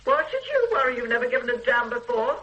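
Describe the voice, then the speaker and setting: An actress in a radio play voicing a bitter, resentful older invalid wife who speaks in a cold, cutting, controlled way. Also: female voice, on the high side, sing-song, fast, dull audio. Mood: angry.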